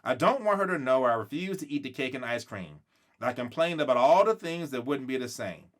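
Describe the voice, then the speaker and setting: A man's voice: mid-range voice, lively intonation, fairly quick. A man reading a children's book aloud expressively, acting out the dialogue and stretching words for emphasis. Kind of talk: expressive read-aloud storytelling.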